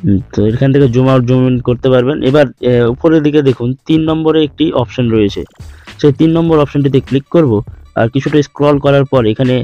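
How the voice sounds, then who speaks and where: A man's voice: mid-range voice, quick pace, mellow. A man explains steps in an instructional tutorial voice-over, recorded a little dull and lo-fi. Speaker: tutorial host. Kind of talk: step-by-step screen tutorial voice-over. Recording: dull lo-fi voice-over, music behind. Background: background music.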